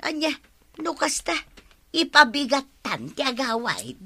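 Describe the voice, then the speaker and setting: A woman's voice: high-pitched, wildly swooping pitch, measured pace, pushed and bright, exaggerated voice. A woman playing a practical, agreeable village wife in an Ilocano radio comedy drama, with an Ilocano Filipino accent. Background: music.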